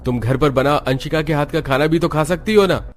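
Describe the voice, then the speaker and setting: Guy doing fed up young husband in a cartoon, pleading n insistent, raised pitch. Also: fairly quick, close to an everyday voice. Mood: exasperated. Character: exasperated young husband, reproachful but reasonable.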